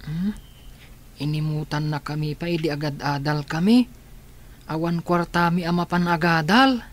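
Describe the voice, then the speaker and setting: Anxious relative in a radio drama, lowish voice, worried, asking things. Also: female voice, normal speed.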